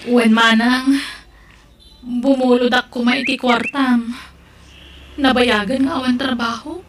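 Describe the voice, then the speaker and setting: High-pitched headstrong Filipino younger sister. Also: female voice, fairly even intonation, slow pace, noisy background. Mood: pleading. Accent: Ilocano Filipino. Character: headstrong, needy younger sister wanting to marry. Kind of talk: Ilocano radio drama acting.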